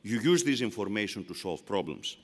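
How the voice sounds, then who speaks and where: A man's voice: on the low side, animated, a little fast. Older Greek-accented man in arena reverb. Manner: rhetorical, emphatic, repeats phrases for effect. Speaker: commencement speaker. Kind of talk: formal commencement speech. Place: large arena.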